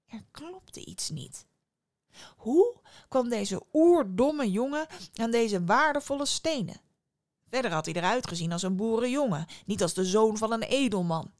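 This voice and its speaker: A woman's voice: medium pitch, brisk, hardly any pauses, no room sound, clean studio sound, natural voice. A woman voicing a sly, greedy male innkeeper in a storybook, with a scheming, muttering manner. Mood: suspicious.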